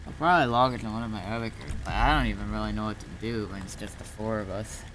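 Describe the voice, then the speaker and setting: Low-voiced tired man over background noise. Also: fast, a bit bright. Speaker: streamer playing online roleplay game. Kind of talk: casual chat during gameplay.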